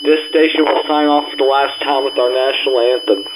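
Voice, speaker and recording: A man reading a mock emergency alert address in a halting way, stumbling and repeating words. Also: medium pitch, fairly even intonation, noisy background. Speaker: amateur emergency broadcast announcer playing a president's address. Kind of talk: mock emergency alert announcement, read aloud.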